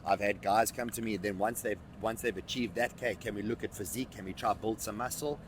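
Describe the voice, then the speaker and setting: A man's voice: lowish voice, fairly even intonation, brisk, noisy background. A man in his thirties, a fitness coach, answering interview questions emphatically and often repeating words as he thinks. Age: 30s.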